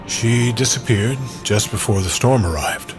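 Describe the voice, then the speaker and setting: A man's voice: fairly low pitch, unhurried, natural voice. Audio drama role: kindly old man played by a male narrator, anxious, plain-spoken, music bed. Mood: worried, wistful. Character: kindly worried elderly man, stranger searching for his wife. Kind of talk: audio drama character acting.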